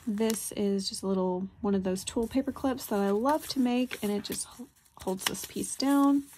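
A woman narrates a craft flip-through in an informal, chatty way. Her voice sits at a middle pitch and she sounds keen on the little details. There is noticeable noise behind her, with small clicks. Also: normal speed, a little mellow. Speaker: crafter presenting handmade journals. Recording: clear background noise, handling clicks.

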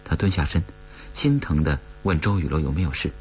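A man's voice: a bass voice, fairly quick, a little mellow, faint music behind. A man reading a scripted horror story as an audiobook narrator, in a dramatic storytelling manner. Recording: dull recording with mains hum.

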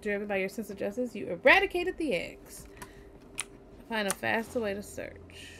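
A woman's voice: medium pitch, animated, normal speed, bright, forceful tone. A tired young woman streaming a video game, chatting casually and reading the game's text aloud. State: tired, yawning. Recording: home stream with faint game noise.

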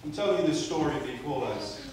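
A man in his forties from Barbados, preaching a sermon with a handheld mic, moving from soft storytelling asides to loud emphatic peaks. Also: fairly high pitch, lively intonation, brisk, recorded from a distance, background noise. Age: 40s. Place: church sanctuary. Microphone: handheld mic, far camera. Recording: echoey church, captured from afar.

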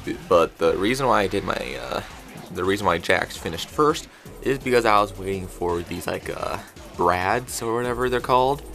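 A man giving a casual craft showcase in an informal, halting, rambling way. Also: on the low side, creaky, fairly bright tone. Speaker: craft video creator showing a homemade puppet. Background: background music.

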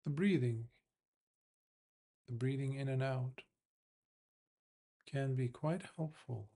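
A man's voice: medium pitch, warm tone. Man guiding meditation in short, spaced phrases. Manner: unhurried, measured, with long pauses between short phrases. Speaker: Buddhist monk, meditation teacher. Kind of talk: guided meditation instruction.

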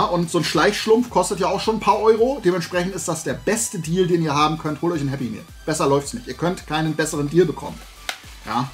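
A middle-aged man with a slightly high voice hosts a casual video. He talks to his viewers in a colloquial, enthusiastic way, as if chatting with friends. Faint music plays behind him. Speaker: YouTube host showing new toys. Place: indoor room with shelves of collectibles.

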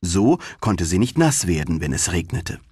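A man's voice: low-pitched, big pitch swings, moderate pace, a little mellow, breathy edge, dry recording. A man reading a fairy tale as an audiobook narrator, telling the story expressively.

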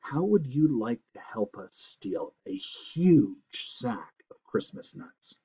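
A man voicing a cocky, scheming little squirrel in an animal story, brash, excitable and greedy. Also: mid-range voice, normal speed, breathy edge.

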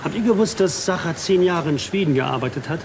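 A keen detective piecing clues together in a crime radio drama. His voice is slightly high. Background noise is clearly audible. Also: expressive, a little fast. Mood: keen, deducing.

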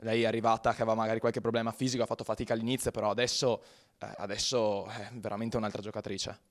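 A man with an Italian accent interviewing players on a handheld mic, pausing on fillers while he translates. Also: on the low side, level tone. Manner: halting, filler-heavy when translating. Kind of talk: post-match interview, switching to translating. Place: press backdrop after a match.

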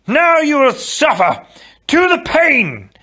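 A man's voice: on the high side, animated, moderate pace, pushed and bright, voice pushed up. A young storybook hero, acted out by a male narrator, menacing and in command. His raised voice swings widely and lands each threat with theatrical emphasis. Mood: roaring command.

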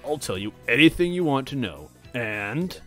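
Male narrator as huge monster king, booming, manipulative, grumbling, casual slang, animated storybook villain, music behind. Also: moderate pace. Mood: sly, goading. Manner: casual slangy speech with swaggering showmanship.

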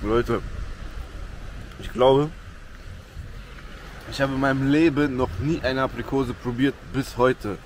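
A young man filming himself at arm's length outdoors by a beach, chatting excitedly and in slang to his viewers as a travel vlogger. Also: medium pitch, slow pace, pauses often, a little hiss or hum. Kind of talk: casual travel vlog. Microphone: handheld camera at arm's length.

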